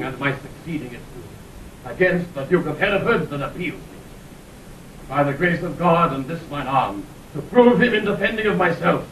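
Proud accused duke, high voice, solemn shakespeare drama, roomy old recording. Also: male voice, a bit bright. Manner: solemn, declamatory. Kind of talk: classical Shakespearean audio drama acting. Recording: echoey, distant, slightly noisy old recording.

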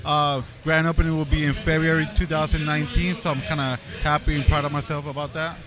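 A man who owns a barbershop, talking in a relaxed, reflective way with lots of fillers. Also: on the high side, fast talker, music in the background. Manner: relaxed, reflective, full of fillers like 'you know'. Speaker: barbershop owner, interview guest. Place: event backdrop at a barber competition. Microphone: handheld mic held by interviewer.

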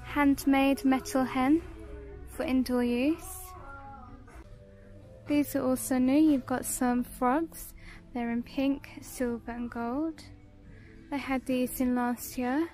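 High-pitched British woman, unhurried shopping vlog. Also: fairly even intonation, dark tone, soft background music. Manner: short descriptive phrases, listing items. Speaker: shopping vlogger. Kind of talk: casual shop-with-me product showcase narration.

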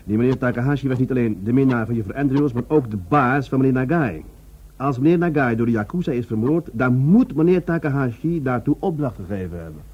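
A man playing an earnest, self-doubting police adjutant in a radio drama, speaking deliberately and deferentially. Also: medium pitch, lively intonation, husky. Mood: earnest, explaining. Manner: deliberate, hesitant, deferential to his superior. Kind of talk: Dutch radio drama dialogue. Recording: dull radio recording, faint noise.

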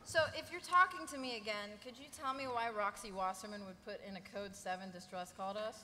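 A woman's voice: mid-range voice, brisk, bright, forceful tone, close to an everyday voice. A young woman playing a snarky, blunt female sidekick in a live table read, with a sarcastic, casual manner. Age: young adult. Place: convention panel stage, table microphone. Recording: echoey convention hall, audience noise.

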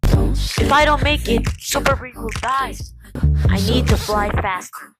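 A woman acting out amateur cartoon lines as an excitable young superhero. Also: fairly high pitch, measured pace, over loud music. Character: excitable young superhero shouting battle calls.

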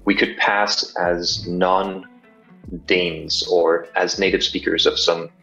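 Deep-voiced Danish man on a video call. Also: flat delivery, slow, bright, forceful tone, dull audio, faint music behind. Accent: Danish, slightly American-sounding English. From Denmark. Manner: thoughtful, self-deprecating, with many fillers. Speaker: guest partner. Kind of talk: casual video call chat. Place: small bright room indoors.

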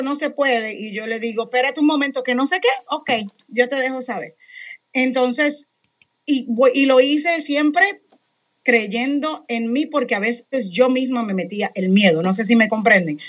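Talkative Puerto Rican woman, high voice. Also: moderate pace. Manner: talkative, animated, self-deprecating. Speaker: radio program director and moderator. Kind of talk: panel discussion on a video call. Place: home room with headphones and studio mic. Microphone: studio microphone on a video call.

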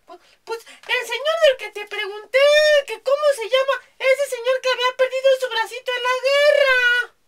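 A man's voice: very high-pitched, fairly even intonation, sharp tone, pitched above the performer's own voice. A man voicing an impatient young grandson who hurries to cut off his grandfather, in a comedy sketch. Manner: whiny and hurried.

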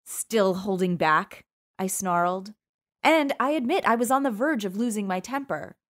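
A woman's voice: on the high side, animated, moderate pace. Sultry woman narrating an audiobook. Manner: wry, sultry storytelling. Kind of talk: first-person audiobook narration.

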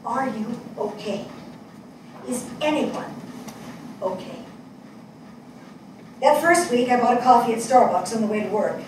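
A woman in her sixties playing an earnest, shaken New Yorker in a deliberate, searching dramatic monologue read on stage. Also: a little high, lightly acted. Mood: searching, anguished. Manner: deliberate, searching, with rhetorical questions and dramatic pauses. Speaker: stage actor in a dramatic reading. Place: small theatre stage before an audience. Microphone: far camera. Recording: echoey theatre, distant mic, noisy.

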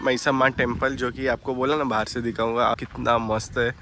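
A young man, a travel vlogger, chatting excitedly to his phone camera as he shows a temple and a dam. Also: medium pitch, normal speed. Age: young adult. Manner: excited, chatty tour-guide talk mixing Hindi and English. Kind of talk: casual travel vlog narration. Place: outdoors at a temple and a dam, and inside a temple hall. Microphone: phone held at arm's length. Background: background music.

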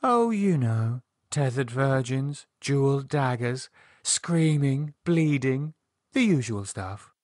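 A man's voice: mid-range voice, big pitch swings, takes it slowly, a little mellow, no echo, clean studio sound, voice pushed up. A man voicing a haughty, sensual woman with a macabre streak, arch and sardonic, with a British accent, in an audiobook. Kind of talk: audiobook character dialogue.

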